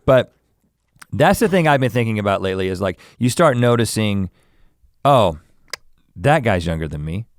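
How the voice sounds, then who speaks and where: A man in his forties musing aloud in a casual chat, reflective and halting as he searches for words. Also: lowish voice, animated, dry recording, studio quality. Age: 40s.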